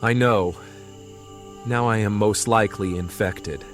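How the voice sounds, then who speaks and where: A man playing a grave, troubled young healer, speaking in a measured, formal way in an audio drama. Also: on the low side, soft background music, close to an everyday voice. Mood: resigned.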